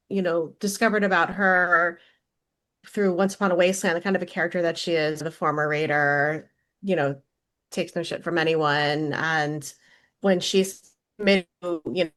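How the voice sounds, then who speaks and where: A low-voiced woman speaks as a voice actress in a casual interview. She answers reflectively and haltingly, working out her thoughts as she goes.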